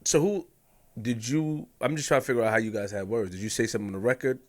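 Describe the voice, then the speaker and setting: A man's voice: mid-range voice, fast talker. A man hosting a podcast, asking probing, rambling questions in a casual interview. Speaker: podcast host.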